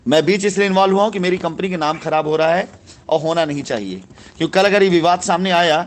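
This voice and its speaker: A man's voice: sits high, quick pace. A man speaking with authority as a company boss mediating a dispute on a recorded phone call, often cutting in and repeating himself.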